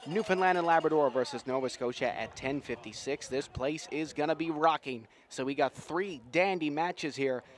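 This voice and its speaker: Male play-by-play caller, slightly high voice, folksy and enthusiastic, live rugby outdoors. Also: moderate pace, few pauses. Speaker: play-by-play sports commentator. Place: outdoors at a sports field.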